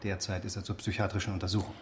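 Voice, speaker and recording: A man's voice: sits low, level tone, racing. An actor playing a dismissive, officious male chief police inspector in a radio drama, lecturing and condescending.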